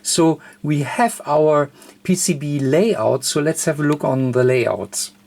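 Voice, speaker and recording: A man presenting an unscripted hands-on electronics tutorial, thinking aloud in a halting, hesitant way. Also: fairly high pitch, vocal fry, a little mellow, slight background noise. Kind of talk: unscripted hands-on technical demonstration.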